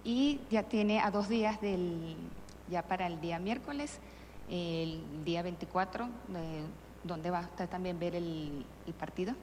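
A woman reporter asking brisk, direct questions at a press conference. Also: lowish voice, a little mellow, long reverb tail, slight background noise, rough recording.

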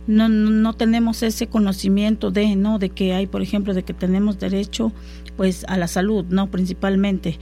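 A woman's voice: mid-range voice, dark tone. A woman whose first language is Totonac, speaking in a conversational interview, hesitant with many fillers and restarts. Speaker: guest interviewee.

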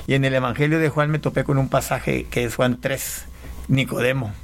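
A man from Panama, a pastor and guest, telling his faith story in conversation, halting with frequent restarts. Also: mid-range voice, fairly even intonation. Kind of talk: conversational testimony.

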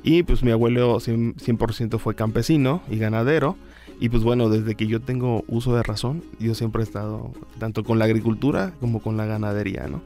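A Mexican man from the Isthmus of Tehuantepec speaks as a teacher guest. He talks earnestly at a middle pitch, dotting his sentences with fillers like "pues" and "¿no?". Faint music plays beneath. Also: a little fast, dark tone.

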